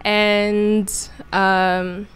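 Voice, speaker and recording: A young woman in her twenties with a smooth, clear voice at a middling pitch. She talks casually as an interview guest, hesitant and full of little fillers and restarts. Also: level tone, extremely slow, fairly bright tone. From Ghana. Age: 20s. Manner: hesitant, many ums and restarts.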